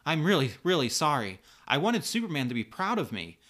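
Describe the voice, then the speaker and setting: A man puts on a naive teenage superhero girl from a comic book story. The voice is pitched up and bright, forceful and earnest. She stammers and pleads, quick to sound hurt and indignant. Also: sing-song. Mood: apologetic, pleading. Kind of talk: dramatized comic book dialogue.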